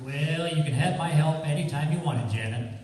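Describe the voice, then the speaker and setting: A man's voice: fairly high pitch, fairly quick, darkish tone, a little hiss or hum, natural voice. Easygoing radio rogue hero male actor, gallant and helpful, far from the mic in a reverberant hall. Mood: genial, obliging. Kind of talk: old-time radio crime drama acting. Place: live stage performance in a hall. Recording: very echoey hall, distant mic.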